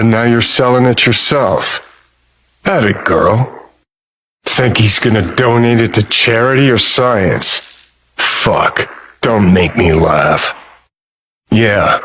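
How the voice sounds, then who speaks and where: Jaded american rockerboy ghost for a video game, lowish voice, really slow sarcastic drawl, sweary. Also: male voice, fairly bright tone. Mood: mocking, gruff. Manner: sardonic, slangy, world-weary drawl. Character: cynical, foul-mouthed rockerboy ghost haunting a mercenary's head. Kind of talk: video game character dialogue.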